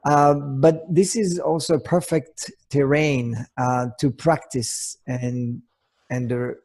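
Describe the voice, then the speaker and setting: Gravelly, husky man with Swiss accent. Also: mid-range voice, fairly even intonation, slow pace, a little mellow. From Switzerland. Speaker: co-host, relationship coach. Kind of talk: semi-prepared conversational presentation.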